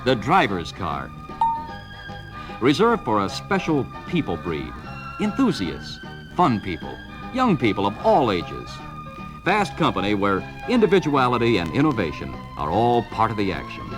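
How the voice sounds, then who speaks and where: An American man narrates a vintage 1970s promotional film with a lively, swinging pitch. He delivers clipped, emphatic sales phrases over clearly audible music, as heard on an old vinyl record. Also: measured pace, low-quality recording. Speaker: narrator of a car dealer training film.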